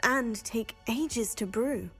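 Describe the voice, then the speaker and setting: A woman's voice: a little high, slow pace, slightly rough, faint music behind, exaggerated voice. A woman playing an earnest, composed teenage witch student in a video game, speaking politely.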